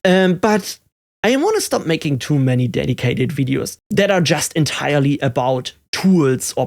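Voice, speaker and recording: A man's voice: mid-range voice, vocal fry. A man in his thirties with a German accent, native German speaker, talking candidly and reflectively in a personal vlog as a tech YouTuber, with many fillers and restarts.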